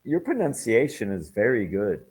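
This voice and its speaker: An American man speaks in a lowish voice as an English tutor over a video call. He talks in a friendly, rambling way and fills his sentences with "you know" and "like.". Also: very animated, moderate pace, a little mellow, husky. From United States. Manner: friendly, anecdotal, many fillers. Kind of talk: casual conversation lesson.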